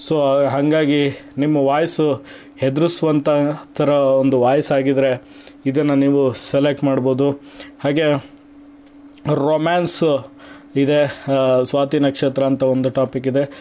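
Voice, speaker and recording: A man's voice: medium pitch, little pitch movement, slow, long pauses, dark tone. A man from Karnataka hosting an online video, explaining things to viewers step by step in a casual, conversational way.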